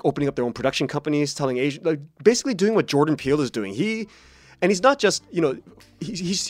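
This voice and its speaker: A man speaking as an interview guest, telling personal stories conversationally and casually, acting out quotes as he goes. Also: fairly high pitch. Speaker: interview guest, podcast creator and writer.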